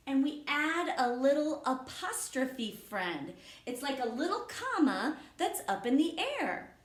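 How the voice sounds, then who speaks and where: Slow, patient woman teaching young children. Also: on the high side, a bit bright. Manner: patient, slow and encouraging, explaining step by step. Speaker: elementary school teacher. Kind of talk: remote classroom lesson for young children. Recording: echoey room.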